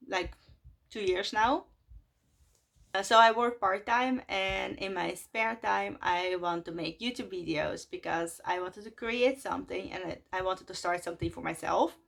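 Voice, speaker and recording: A woman in her twenties from Russia, a native Russian speaker talking casually in English to her vlog audience, with many fillers and soft laughs between phrases. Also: medium pitch, vocal fry. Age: 20s. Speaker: vlogger. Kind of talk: casual chat to camera.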